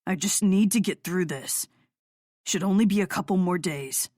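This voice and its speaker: A woman voicing a stubborn young man sick from withdrawal, speaking weakly in a low, hollow voice. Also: normal speed, a little mellow, no echo, studio quality, close to an everyday voice.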